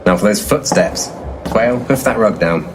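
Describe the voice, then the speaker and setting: An actor playing a brash, foul-mouthed, money-minded ghost investigator in a comedy audio drama, deadpan and bossy. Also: male voice, on the low side, normal speed, music in the background, lightly acted.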